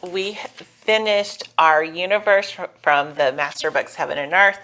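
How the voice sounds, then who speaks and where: A woman's voice: lowish voice, pushed and bright. A woman, a homeschooling mother hosting a casual video update in a chatty, informal, explanatory way. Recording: noticeable background noise.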